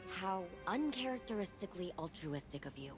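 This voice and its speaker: A woman's voice: lowish voice, sing-song, a little fast, over music. An actress voicing a wry, defiant young woman scientist in a video game, sarcastic, casual and quippy. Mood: dry, determined.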